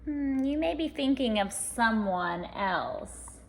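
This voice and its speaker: A woman narrates a children's picture book expressively. Her voice is high and very animated, a little husky, and unhurried. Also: a little hiss or hum. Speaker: storybook reader.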